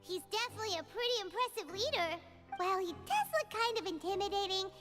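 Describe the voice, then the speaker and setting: Very high-pitched childlike fairy companion. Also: female voice, lively intonation, fairly quick, over music. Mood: chatty. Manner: speaks of herself in third person, exclamatory. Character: tiny excitable female fairy companion, childlike, chatty. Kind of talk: video game dialogue acting.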